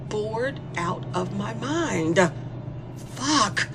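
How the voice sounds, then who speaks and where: A woman playing a spoiled, bored young female killer in an audio horror monologue, taunting and conversational, with gleeful, drawn-out musing. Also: mid-range voice, lively intonation, noisy background.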